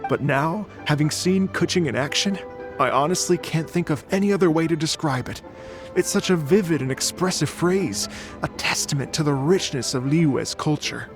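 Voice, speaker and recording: An actor playing a courteous, earnest gentleman visitor in a video game, speaking politely and eloquently. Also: on the high side, moderate pace, natural voice. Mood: admiring. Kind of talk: video game character dialogue. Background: music.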